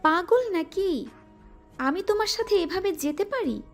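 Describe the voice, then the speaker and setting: A shy young woman who scolds and brushes off her admirer, coy underneath, as an actress voices her. Her voice sits high and bright, with music running beneath her lines. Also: lively intonation, a little slow, exaggerated voice. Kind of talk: Bengali audio drama acting.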